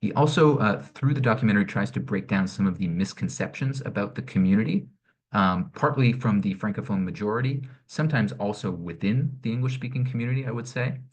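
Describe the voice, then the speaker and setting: A man with a deep, low voice walks through arts programs in an online info session, speaking into a computer mic. He explains things in a measured way, with frequent small fillers as he goes. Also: lively intonation. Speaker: director of programming presenting an arts network. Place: home or office, online session. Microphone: computer mic on a video call.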